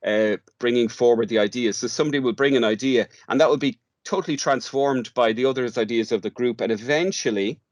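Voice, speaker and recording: A man's voice: mid-range voice, fairly quick, hardly any pauses, dry recording. A man explaining his work in an informal interview, often restarting and repeating words as he goes. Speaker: drama workshop facilitator, interview guest.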